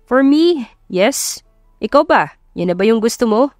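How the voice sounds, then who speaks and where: A woman's voice: on the high side, animated, a little slow, a little mellow, dry recording, faint music behind. A devoted young Filipino fiancé, played by a woman, speaks tenderly in a romance audiobook. The sound is dry and close. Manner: tender, mixing English into talk. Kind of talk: audiobook character acting.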